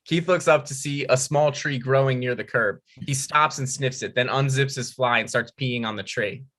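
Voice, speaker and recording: A man's voice: medium pitch, moderate pace, a bit bright. A man reading out scripted stage directions as narrator at a comedy table read.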